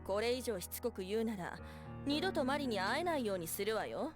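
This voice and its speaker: A woman's voice: a little high, sing-song, very fast, music in the background. A woman playing a haughty, irritated female fox spirit in a Japanese visual novel, speaking in a scolding, dismissive manner. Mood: cold, dismissive.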